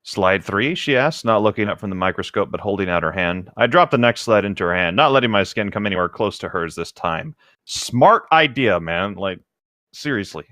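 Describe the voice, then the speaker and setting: Low-pitched, drily teasing man, close and dry. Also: animated, normal speed, sharp tone, no room sound. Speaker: co-host of a comedic read-along. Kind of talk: casual reading aloud with offhand commentary.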